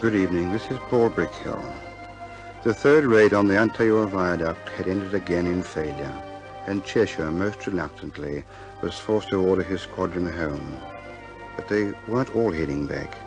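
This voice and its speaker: A man narrating a scripted radio war story as its presenter, measured and formal. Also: deep voice, rough recording. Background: music.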